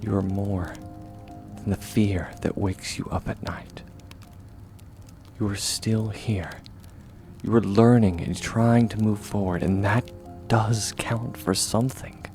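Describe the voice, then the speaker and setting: A man acting as a steadfast young warrior mentor offers comfort in a low voice. He speaks slowly and softly, often sinking toward a whisper, in an intimate ASMR roleplay over faint music. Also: fairly even intonation. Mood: gentle, encouraging. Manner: soft, reassuring, measured, often near whisper.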